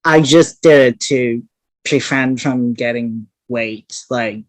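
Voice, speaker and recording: A woman's voice: extremely low pitch, slow pace, no echo. Female interview guest from Hong Kong, straightforward and blunt, casual talk, dry recording. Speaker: interview guest, competitive bodybuilder.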